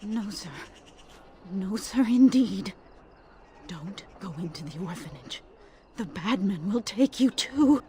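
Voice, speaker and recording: A woman's voice: mid-range voice, expressive, slow pace, long pauses, darkish tone. An actress playing a traumatized, fearful orphan woman who rambles disjointedly, drifting between muttering and wailing. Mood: fearful, mournful.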